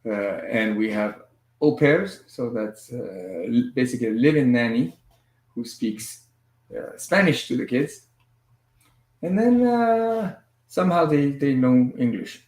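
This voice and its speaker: A man hosting a casual family livestream from home, moving easily between languages with a relaxed, filler-heavy way of talking. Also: mid-range voice, unhurried. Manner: switches between languages mid-sentence. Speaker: livestream host and father. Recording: echoey room sound.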